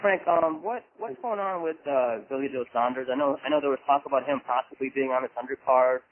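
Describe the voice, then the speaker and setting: A man asking questions as a reporter on a press conference call, halting and repeating his words. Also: medium pitch. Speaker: boxing reporter. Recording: thin phone line.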